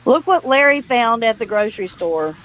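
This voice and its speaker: A woman's voice: fairly high pitch, lively intonation, normal speed, a bit bright, low-quality recording. A woman hosting a casual livestream, chatty, folksy and welcoming. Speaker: livestream host.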